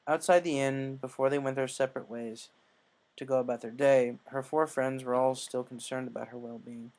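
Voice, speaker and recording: A man reading a scripted story as narrator, in a measured way with occasional stumbles. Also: medium pitch, fairly even intonation, darkish tone. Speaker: story reader.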